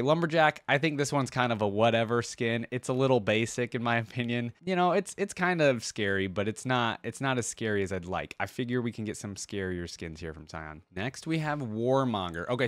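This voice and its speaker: A man's voice: medium pitch, no room sound. A man talks casually as the host of a reaction video, with a mid-range voice. His delivery is informal and slangy, speaking straight to his viewers like a fellow fan. The sound is dry, with very little room on it.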